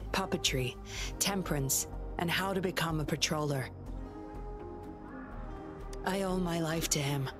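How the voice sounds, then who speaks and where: A sly young woman patroller from a video game, speaking in a very low register. She is composed and measured, teasing with cool command, as clear music plays behind her.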